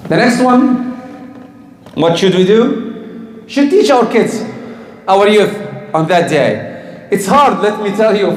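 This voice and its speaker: A man giving a religious talk to a congregation, asking the listeners questions and answering them himself. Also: high voice. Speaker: Islamic teacher. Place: community hall or mosque in Canada. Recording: echoey hall with long reverb.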